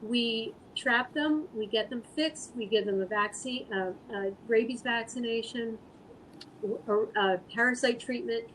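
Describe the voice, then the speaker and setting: A woman speaking as an interview guest, answering casually in an earnest, halting, thoughtful way. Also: fairly high pitch, a bit bright, slight background noise, low-quality recording. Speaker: guest artist and animal rescue volunteer.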